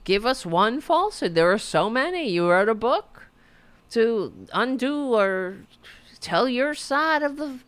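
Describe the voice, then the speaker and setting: A woman delivers mocking, casual political commentary in a clean, smooth voice. Her pitch jumps around expressively as she hammers the same question over and over. She is profane, exasperated and openly sarcastic. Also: expressive, measured pace. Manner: exasperated, repeats demands and questions. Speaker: podcast host.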